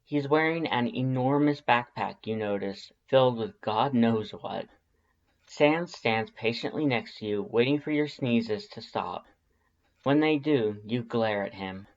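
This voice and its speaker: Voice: medium pitch, a little slow. A narrator reading scripted second-person fan fiction as an audiobook. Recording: slightly dull, band-limited audio.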